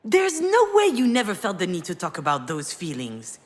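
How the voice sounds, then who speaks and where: An actress playing a grieving, self-reproaching woman in a video game drama, speaking in an earnest, reflective, halting way. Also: fairly low pitch, huge pitch swings. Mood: remorseful. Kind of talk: dramatic visual novel voice acting with inner narration.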